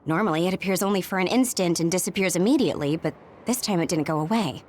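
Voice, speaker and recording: An actress playing a calm, understanding squadmate who explains things in a video game. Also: female voice, fairly low pitch, fast, close to an everyday voice. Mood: calm, explanatory. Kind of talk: English video game dub acting.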